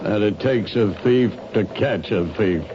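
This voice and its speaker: A man's voice: lowish voice, a little slow, loud music behind the voice, close to an everyday voice. A man playing a wry, probing police lieutenant in a 1970s radio mystery. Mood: amused, wry. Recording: dull noisy old radio broadcast recording.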